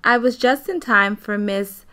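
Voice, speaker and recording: A woman's voice: mid-range voice, a little slow, noisy background, close to an everyday voice. An American woman playing a narrator who looks back fondly on childhood in a radio play. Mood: nostalgic. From United States.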